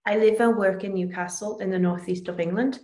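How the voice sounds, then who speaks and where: A woman with a Dutch accent delivers a prepared online presentation. Her pitch sits in the middle range for a woman. The recording is roomy, with clear echo around her voice. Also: fairly even intonation, brisk, mellow. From Netherlands. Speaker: company territory manager presenting. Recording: roomy, echoing voice.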